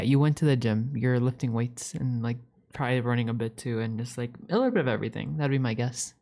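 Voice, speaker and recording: A woman plays a young catboy roommate in a casual ASMR roleplay, with a very deep voice and a soft, dark tone. He is playful and a little needy, rambling along, stammering and doubling back on his words.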